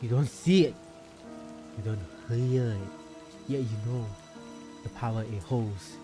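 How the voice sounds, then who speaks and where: A man hosting a casual game livestream, reading story text aloud dramatically and commenting informally with mild swearing. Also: mid-range voice. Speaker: game streamer and host. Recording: music behind the voice.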